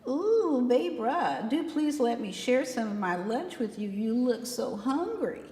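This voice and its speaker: A woman's voice: on the high side, big pitch swings, measured pace, warm tone, pitched above the performer's own voice. A woman voicing a teenage boy's mocking, cooing imitation of a doting young girl in a novel reading. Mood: mock sweet. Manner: cooing, exaggeratedly sweet. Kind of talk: acted reading of a historical novel. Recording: echoey room with long reverb.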